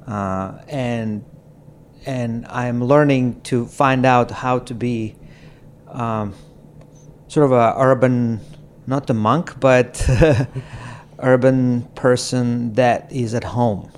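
Slow, reflective man from Croatia. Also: medium pitch, fairly even intonation, slight background noise. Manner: slow, halting, with frequent pauses and soft laughs. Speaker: podcast guest. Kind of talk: reflective conversation. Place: apartment in a city.

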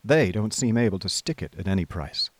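An actor playing an idle, chummy young upper-class gentleman, narrating a radio drama in breezy, wordy old-fashioned slang. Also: on the low side, expressive, a little fast, no room sound. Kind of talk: scripted first-person radio drama narration. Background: music.

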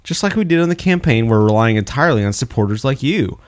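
A man's voice: medium pitch, lively intonation, fast. A man hosting a podcast in casual comedic banter, joking and turning mock-formal when reading aloud. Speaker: podcast host.

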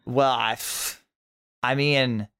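A man talks as a podcast guest in an informal way. His pitch is average, and he speaks as if chatting with friends. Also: fairly even intonation, extremely slow, fairly bright tone, smooth. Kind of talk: casual chat.

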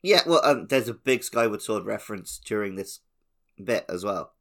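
A man's voice: lowish voice, animated, normal speed, a bit bright. A man co-hosting a video game podcast in an informal, slangy, conversational way. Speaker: podcast co-host. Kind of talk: casual video game chat.

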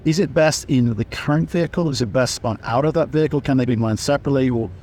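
Quick talking interviewer guy, fires questions, faint rumble behind. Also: darkish tone. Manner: rapid-fire questions, stumbles.

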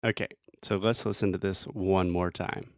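Southern us guy hosting an english lesson podcast, lowish voice, slows down to show sounds. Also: fairly even intonation, no room sound. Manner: clear, patient, slows down to demonstrate sounds. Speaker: English teacher and podcast host. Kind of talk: explaining pronunciation.

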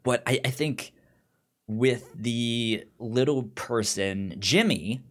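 A man in a casual film discussion, talking haltingly and stammering over repeated words and syllables. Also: medium pitch. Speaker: film podcast host.

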